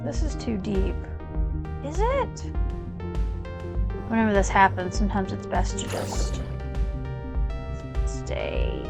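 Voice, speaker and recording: A woman's voice: mid-range voice, wildly swooping pitch, slow, creaky, pauses often. A young woman chatting casually while playing a video game, rambling with lots of fillers and side tangents. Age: young adult. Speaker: gaming channel host. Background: game music.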